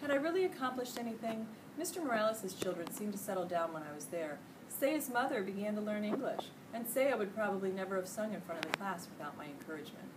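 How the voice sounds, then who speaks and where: A middle-aged American woman reads a children's book aloud in an expressive storytelling style. Her voice sits at an ordinary female pitch. It is caught by a distant microphone in a clearly noisy room. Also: fast, hardly any pauses. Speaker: teacher reading aloud. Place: indoor school classroom. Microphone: distant camera microphone.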